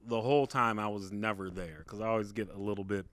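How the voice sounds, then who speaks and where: A man with a big voice, a podcast guest, telling a personal story in a casual, halting, conversational way. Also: fairly low pitch, fairly even intonation, a bit bright, clean tone, no echo. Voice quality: big, carrying voice. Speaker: podcast guest, stand-up comedian.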